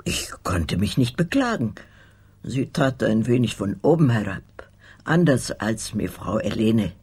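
Casting: elderly loyal housekeeper, extremely husky, unhurried but swinging in pitch, terse and guarded. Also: female voice, very animated, darkish tone, exaggerated voice. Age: older. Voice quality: very husky, breathy. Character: guarded old Polish housekeeper, loyal and curt. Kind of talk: radio crime drama dialogue.

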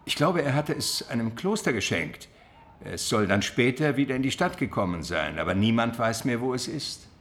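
An actor playing a cultured, cautious Byzantine gentleman in a radio drama, measured and thoughtful. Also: medium pitch, big pitch swings. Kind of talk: radio drama acting and narration.

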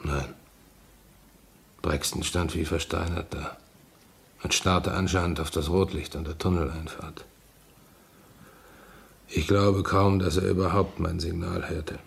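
An actor playing a shaken, grave train driver in a radio play, speaking deliberately. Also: male voice, sits low, steady tone, vocal fry, husky, exaggerated voice. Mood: shaken, defensive.

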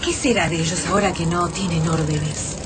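A woman's voice: lowish voice, fairly even intonation, moderate pace, natural voice. Woman acting a watchful Argentine survivor, noisy scene. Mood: curious. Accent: Argentine Rioplatense. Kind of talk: radio drama acting. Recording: noisy radio drama with effects.

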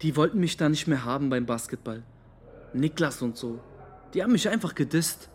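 A man's voice: a little high, lively intonation, fairly quick, husky. An actor playing a slangy, earnest young man who feels hurt and defiant, in a naturalistic radio drama. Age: young adult. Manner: slangy, earnest, plain-spoken. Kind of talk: interview monologue in a naturalistic German radio drama.

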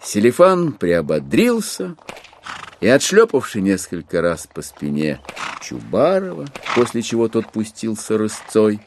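Низкий, очень выразительный мужской голос рассказчика. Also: male voice, on the low side, unhurried, a little hiss or hum. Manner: theatrical, rhetorical, expressive. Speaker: narrator. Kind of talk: dramatic literary narration in an audio play.

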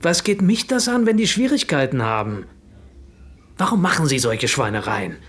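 A brash, crude businessman in a radio drama. His voice is husky and swings widely in pitch as he blusters and jeers with coarse self-righteousness. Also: sing-song, normal speed. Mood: indignant. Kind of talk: radio play acting.

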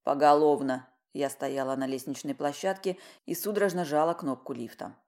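A woman with a low voice narrates a first-person story. Her reading is expressive and conversational, touched with dry irony, as in an audiobook. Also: fairly quick.

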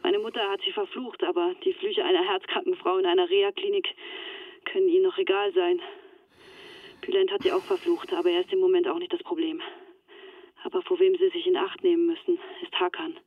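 A woman's voice: lowish voice, little pitch movement, a little fast, dry recording. An actress playing an anxious, resigned young woman in a German radio drama, speaking earnestly through a telephone filter. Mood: urgent, sober. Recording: thin, band-limited telephone line.

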